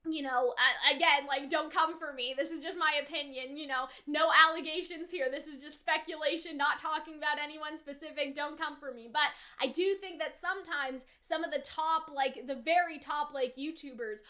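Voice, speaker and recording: A woman with a high, bright voice talks like a YouTuber reviewing a show. She is chatty and animated, using casual slang and drifting into tangents as she reacts. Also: normal speed, few pauses.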